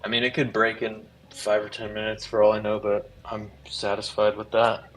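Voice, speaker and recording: A man's voice: lowish voice, completely flat delivery, normal speed, rough recording. A man in a trading voice chat, laid-back, making terse remarks. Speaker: trader in voice chat. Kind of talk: casual chat.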